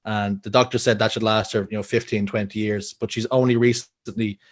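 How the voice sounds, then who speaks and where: A man's voice: lowish voice, flat delivery, a little fast. A young Irish man with a Dublin accent, a guest telling personal stories earnestly with frequent restarts. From Ireland. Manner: earnest storytelling, many restarts. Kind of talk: casual podcast interview.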